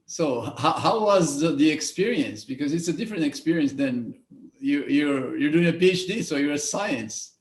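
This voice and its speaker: A man's voice: on the high side, animated, moderate pace. Man with a Brazilian Portuguese accent, echoey. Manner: repeats words, stumbles, friendly. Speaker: interviewer. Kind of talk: casual interview.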